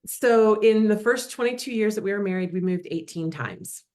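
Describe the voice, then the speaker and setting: Casting: fifties woman, friendly interview guest, easy laugh, halting ums. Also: mid-range voice, a little mellow. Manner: friendly, laughs often, many ums and restarts. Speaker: guest, realtor. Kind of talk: casual interview conversation.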